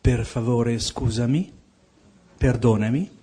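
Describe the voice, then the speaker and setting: A man's voice: mid-range voice, unhurried, crowd noise. A native Italian man with an Italian accent, speaking as an audience member at a seminar. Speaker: audience member asking a question.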